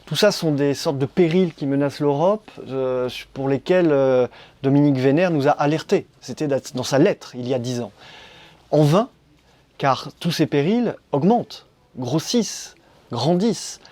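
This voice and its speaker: A man interviewing guests, asking long, deliberate, prepared questions. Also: a little high, a little mellow, no echo.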